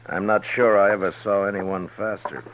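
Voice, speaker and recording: A man acting as a weary, principled marshal of the Old West with a very low voice. He talks plainly and firmly, in measured lines, as in a 1950s radio western. The recording is old, muffled and a little noisy. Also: fairly even intonation, lightly acted. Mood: grave. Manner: measured, firm, plain-spoken.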